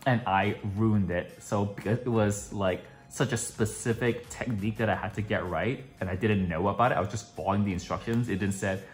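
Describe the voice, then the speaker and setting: Man, lowish pitch, casual vlog chat, lots of filler words, faint music behind. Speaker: vlogger. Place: at home.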